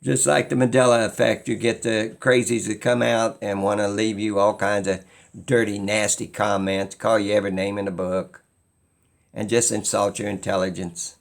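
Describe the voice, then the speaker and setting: A man's voice: fairly low pitch, level tone, moderate pace, gravelly. A man giving an unscripted opinion monologue as a video creator, rambling and insistent, repeating phrases for emphasis.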